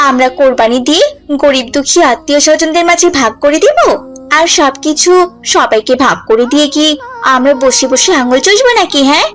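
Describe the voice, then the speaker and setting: A high-voiced young cartoon girl, acted by a woman, spoiled and haughty. She boasts and sneers, with faint music behind her. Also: a bit bright. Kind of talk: animated cartoon dialogue.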